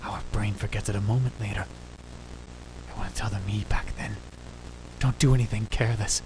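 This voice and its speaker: A man voicing a dramatic young self-styled mad scientist, narrating in the first person in a hushed, grave, theatrical way. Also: fairly low pitch, steady tone, fairly quick, background noise, close to an everyday voice. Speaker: narrator and lead in fan dub. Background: music.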